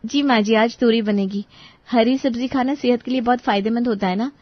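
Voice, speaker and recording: A woman playing a polite but exasperated young daughter-in-law in a dramatized story, expressive in the way she speaks. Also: a little high, a little fast, no echo, lo-fi sound, natural voice. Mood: polite, cheerful. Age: young adult.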